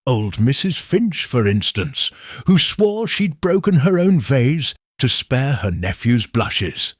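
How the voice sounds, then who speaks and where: A man voicing a shrewd elderly village spinster, speaking in a gentle, probing, knowing way. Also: medium pitch, big pitch swings, slow, husky, no echo, clean studio sound, heavily acted. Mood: shrewd musing.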